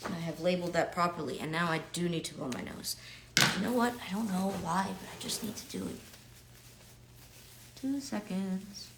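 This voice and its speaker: A slightly low-voiced woman from New York in her thirties talks casually to fans while signing autographs. She is chatty and upbeat, trailing into quiet murmurs as she writes. She speaks into a webcam mic indoors at home. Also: normal speed, noisy background. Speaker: actor signing autographs on a livestream.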